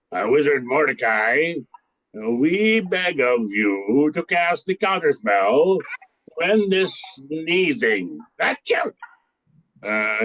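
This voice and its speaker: A man's voice: fairly high pitch, fairly bright tone, heavily acted, poor audio. A man playing a fussy, pompous king in a comic radio play, hesitant and blustering. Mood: pleading.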